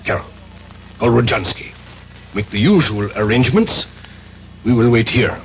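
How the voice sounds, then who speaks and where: An actor playing a cold, suave Soviet intelligence major in a radio drama, formal and menacing, on a dull, noisy old broadcast. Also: male voice, mid-range voice, expressive, moderate pace, natural voice. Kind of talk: 1970s radio drama acting.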